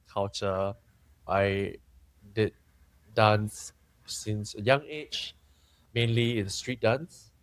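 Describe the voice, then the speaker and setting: A young man from Singapore, talking candidly and thoughtfully as a podcast guest, often pausing and restarting. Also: on the low side, smooth, no room sound. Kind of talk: candid conversational interview.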